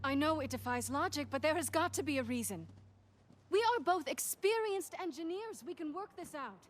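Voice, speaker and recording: A woman's voice: high-pitched, animated, moderate pace, a little hiss or hum. An actress voicing an insistent, reasoning female engineer in a video game cutscene.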